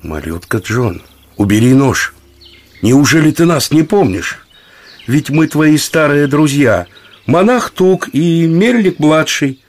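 An actor playing a warm-hearted old friar in a radio play, with an imploring, expressive delivery. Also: male voice, a little high, slow pace. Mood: pleading, surprised.

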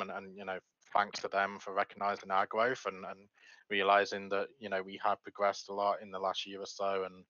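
A man with a low but bright, firm voice talks through business matters conversationally and without a script. The sound is dry and a touch dull. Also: no room sound. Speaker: esports organisation co-owner. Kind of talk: conversational business commentary, unscripted.

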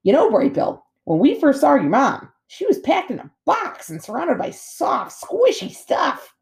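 A woman's voice: sits high, wildly swooping pitch, a little slow, vocal fry, a little mellow, voice pushed up, full character voice. A woman voicing a big friendly female otter in a storybook, breezy, casual and sociable. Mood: chatty, apologetic.

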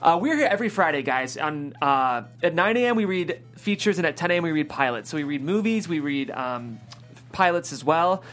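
A man's voice: a little high, lively intonation, brisk, fairly bright tone, soft background music. A man hosting a casual panel discussion in an enthusiastic, informal way. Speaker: host.

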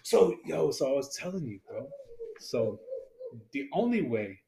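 A man's voice: fairly high pitch, big pitch swings, unhurried, creaky, dark tone, a little hiss or hum. A man in his thirties, co-hosting a casual podcast chat, talking in a laid-back, slangy way and laughing often. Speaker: podcast co-host. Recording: echoey room.

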